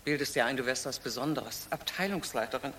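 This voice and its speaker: A woman's voice: extremely low pitch, brisk, fairly bright tone, a little hiss or hum. An older woman acting a reproachful, needling mother who scolds her grown daughter in a radio crime drama.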